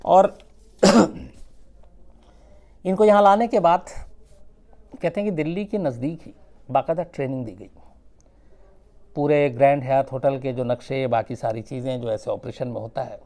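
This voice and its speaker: A man telling a true-crime story without a script, conversational and suspenseful, with dramatic pauses. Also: mid-range voice, steady tone, a little mellow.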